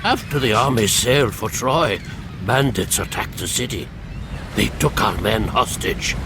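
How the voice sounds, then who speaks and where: A man's voice: lowish voice, animated, a little slow, background noise. An actor playing an anxious, devout old townsman in a video game cutscene. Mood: earnest, troubled. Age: older.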